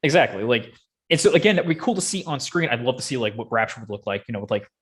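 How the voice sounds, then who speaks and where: A man's voice: mid-range voice, lively intonation, fast. A man in casual chat as a podcast co-host, talking like an enthusiastic fan with plenty of slang. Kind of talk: casual chat about video game movies.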